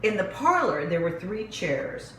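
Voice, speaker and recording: A woman's voice: on the low side, big pitch swings, unhurried, bright, forceful tone. A woman reading a picture book aloud to young children as a storyteller, with dramatic, emphatic phrasing. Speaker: children's librarian reading stories. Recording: roomy echo with faint background noise.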